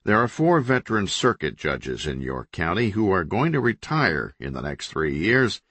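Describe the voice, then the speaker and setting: A blunt, commanding governor, as a male narrator voices him in his own low, dry voice. He talks with tight control and shifts into profane orders, with a lively rise and fall of pitch in an audiobook reading. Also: normal speed, breathy edge, no echo, heavily acted. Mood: cold, even. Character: hot-tempered male state governor, forceful.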